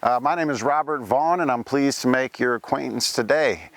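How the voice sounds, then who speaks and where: Folksy ghost of a frontier gold miner, played by a man; chatty storyteller, mid-pitched, clean dry delivery. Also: moderate pace, fairly bright tone, no echo, close to an everyday voice. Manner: folksy storytelling, frequent "right?" asides and "uh" fillers. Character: ghost of a male Welsh-born pioneer gold miner. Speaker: costumed cemetery tour performer. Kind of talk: first-person historical reenactment. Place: outdoor cemetery.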